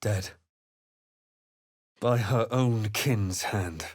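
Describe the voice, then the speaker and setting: Measured, theatrical fantasy hero, dry recording. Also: male voice, fairly low pitch, dry recording. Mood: grave, hushed narration. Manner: measured, literary, theatrical delivery. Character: male fantasy adventurer hero narrating his exploits, composed, wry. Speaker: video game player-character voice actor. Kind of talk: dramatic fantasy game voice lines and narration.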